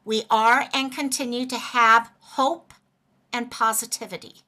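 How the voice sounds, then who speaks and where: A woman hosting a casual livestream, chatty and greeting viewers by name, a little hoarse as she is losing her voice. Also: a little high, steady tone, measured pace, sharp tone. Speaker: livestream host.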